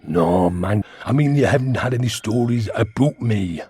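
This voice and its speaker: Comic creature role: chatty Geordie giant worm, dark gravel-husky voice, rambling and cheeky. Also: male voice, on the low side, expressive, normal speed. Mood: exasperated. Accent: Geordie, northeast England. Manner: rambling, blunt, colloquial. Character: cheeky, chatty twenty-foot talking lantern worm. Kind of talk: comic radio sitcom acting.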